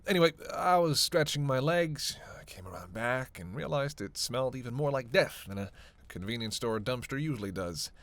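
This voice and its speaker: A man playing a snarky, self-serving male vampire who teases the listener in a casual, sarcastic roleplay audio drama, with a creaky voice. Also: mid-range voice, animated, moderate pace, a bit bright, no room sound, close to an everyday voice. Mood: wry explaining. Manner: casual, sarcastic, teasing, cursing.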